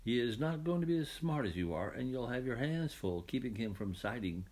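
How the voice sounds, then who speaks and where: A man reading a children's Bible storybook, voicing God as a calm, fatherly creator who explains things with gentle authority. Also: mid-range voice, a little fast, lightly acted. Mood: cautioning, instructive.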